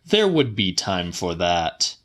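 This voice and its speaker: Deliberate man reading with very lively pitch. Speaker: audiobook reader. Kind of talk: slightly dramatic literary audiobook narration.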